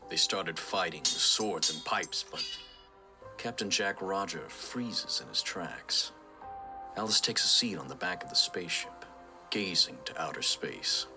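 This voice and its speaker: A man's voice: deep voice, animated, unhurried, gravelly, music in the background. A man delivering scripted story narration as the narrator of an audio drama.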